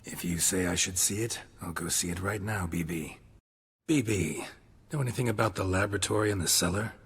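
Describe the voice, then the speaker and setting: An actor playing a gruff, laconic male monster hunter in a video game, speaking in terse, understated phrases. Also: low voice, brisk, a bit bright, heavily acted. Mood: matter-of-fact. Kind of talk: video game cutscene acting. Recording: music clearly behind the voice. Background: game music.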